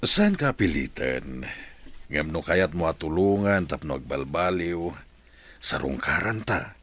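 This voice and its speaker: An actor playing a supportive, persuasive man in a radio drama, with a husky voice. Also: very deep voice, sing-song, measured pace, fairly bright tone, full character voice. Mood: gently persuasive. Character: supportive, persuasive man urging a woman to forgive.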